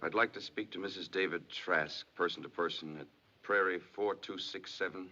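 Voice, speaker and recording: A man's voice: low voice, fairly even intonation, bright, forceful tone. An actor in a 1950s Hollywood film playing an earnest, anxious husband and father. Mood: businesslike, subdued. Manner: halting, earnest. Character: anxious, estranged husband and father phoning his wife. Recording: dull old film soundtrack.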